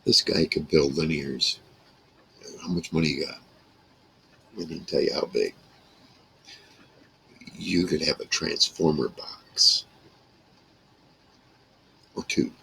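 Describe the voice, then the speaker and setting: A man in his sixties with a rough, gravelly voice, rambling casually to camera in halting phrases. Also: very deep voice, fairly even intonation, pushed and bright, poor audio. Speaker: vlogger reminiscing about a radio hobby. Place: small wood-paneled room indoors.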